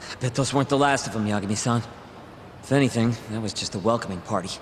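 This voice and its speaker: A man's voice: mid-range voice, fast, slight background noise, close to an everyday voice. A man playing a wary young sidekick in a video game cutscene, casual but cautious. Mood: wary, curious. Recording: long reverb, music behind.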